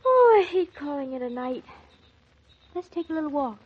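An actress playing a bubbly, romantic young wife in a 1940s radio drama, eager and playful. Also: female voice, sits high, big pitch swings, normal speed. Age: young adult. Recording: dull old radio recording.

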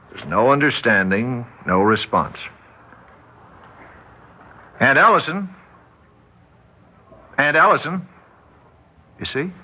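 An actor playing a sober, grave businessman in a 1960s radio drama, with a husky voice and measured manner. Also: medium pitch, pushed and bright, a little hiss or hum, heavily acted. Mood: grave, resigned. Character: sober businessman relative, worried about company shares. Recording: muffled 1960s radio recording.

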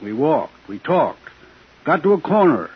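An actor playing an evasive, outwardly genial shop owner in a 1950s radio thriller, guarded and speaking in short phrases. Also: male voice, on the high side, sing-song, unhurried, breathy edge, exaggerated voice. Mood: guarded, matter-of-fact. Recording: dull, faintly noisy 1950s radio broadcast.

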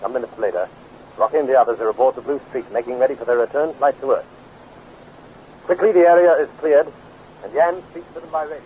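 A man's voice: medium pitch, fast talker, long pauses, natural voice. An actor performing as the dramatic, declamatory male narrator of a 1950s children's space adventure radio serial. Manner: declamatory, urgent. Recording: tinny, noisy 1950s radio recording.

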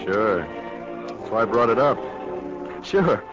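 A man's voice: on the low side, lively intonation. Bashful smitten young man, muffled radio. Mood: bashful, eager. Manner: hesitant, halting courtship talk. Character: smitten young fellow, bashful, eager, boyish. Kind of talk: 1940s radio acting. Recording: muffled 1940s radio broadcast over loud music.

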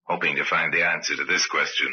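An actor narrating an old radio crime drama as a grave, knowing storyteller, deliberate and ominous in his phrasing. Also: rumbling low, level tone, breathy edge. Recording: dull vintage radio recording.

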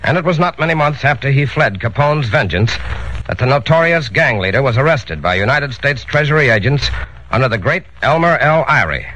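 A man's voice: mid-range voice, expressive, brisk, pushed and bright, low-quality recording. A man giving formal, emphatic scripted narration as a prosecutor on a 1940s radio crime show. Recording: old radio recording with hiss.

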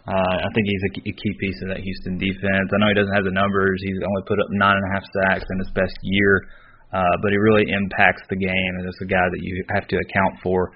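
A man's voice: low voice, monotone, quick pace, a little hiss or hum. A man co-hosting a sports podcast, giving casual analysis in short, considered phrases. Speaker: podcast co-host. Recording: slightly dull, lo-fi audio.

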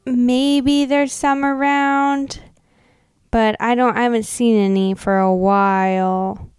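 A woman's voice: fairly high pitch, steady tone, takes it slowly, darkish tone, clear, resonant tone, dry recording, clean studio sound. A woman co-hosting a podcast, casually chatting and reading aloud in a chatty way. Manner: chatty, uses like and you know often. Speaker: podcast co-host. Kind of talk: casual chat with dramatic readings of old notes.

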